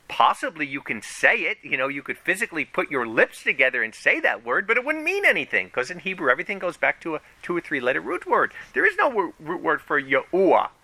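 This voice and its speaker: Male preacher, conversational sermon, gravelly voice with lively pitch. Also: no echo. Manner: animated, conversational preaching with rising emphasis. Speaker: pastor.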